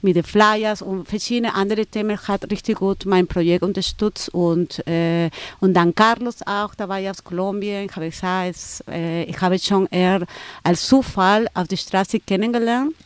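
Woman speaking halting German. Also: medium pitch, fairly even intonation, clean tone, no echo. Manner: halting non-native German with many fillers and restarts. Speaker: photographer and event organizer. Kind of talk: interview answers.

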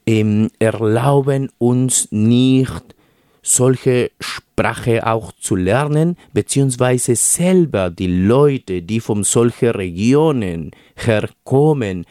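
A man's voice: mid-range voice, warm tone, no echo, clean studio sound. A man from Peru, a native Spanish speaker with a Peruvian accent, giving a radio interview as a guest and speaking hesitantly with many fillers.

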